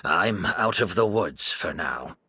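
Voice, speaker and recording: An actor playing a weary but resolute man in a video game drama, speaking gravely and emphatically. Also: low-pitched, expressive, slow pace, pushed and bright, exaggerated voice.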